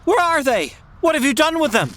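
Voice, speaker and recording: A woman's voice: sits high, sing-song, a little fast, a bit bright. A woman playing a frantic, desperate family man, stammering and pleading, in a comic radio drama.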